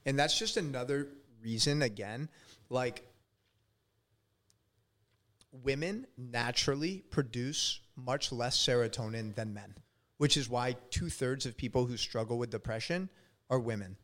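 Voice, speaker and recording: A man's voice: mid-range voice, moderate pace. Casual man in his thirties hosting. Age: 30s. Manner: relaxed, heavy filler "like", casual swearing. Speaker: podcast host. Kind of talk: casual interview chat.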